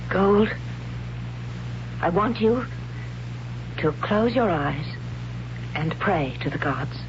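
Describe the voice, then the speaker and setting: An actress playing a devout, tormented warrior woman in love, in a 1970s radio drama, speaking with halting, solemn intensity. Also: lowish voice, animated. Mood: solemn resolve. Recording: muffled, noisy 1970s radio recording.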